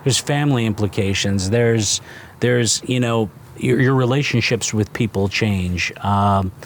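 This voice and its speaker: Halting fiftysomething man, lowish voice. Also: a little hiss or hum. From New Jersey. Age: 50s. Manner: halting, full of fillers and restarts. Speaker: restaurant founder guest. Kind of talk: casual fireside interview. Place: outdoors by a fire pit.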